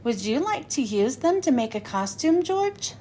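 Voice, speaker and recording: A woman reading aloud, voicing a kind grown-up woman who hosts a party, in gentle, friendly storybook dialogue. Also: sits high, big pitch swings, moderate pace, a little hiss or hum. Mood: kindly.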